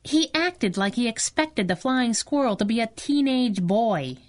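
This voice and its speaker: A wisecracking young sidekick gal, highish voice, swooping intonation, slangy, feisty, 1930s radio style. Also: female voice, expressive, a little slow. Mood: urgent, sassy. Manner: sassy, slangy, streetwise. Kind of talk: 1930s radio drama acting.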